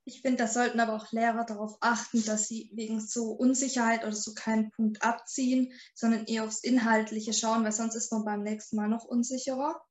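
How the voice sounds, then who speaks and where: A chatty young woman of twenty-one with a fairly high voice tells stories casually. Her voice rings in a roomy, echoey space. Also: flat delivery, fairly quick, few pauses. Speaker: student podcast co-host. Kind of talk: casual chat.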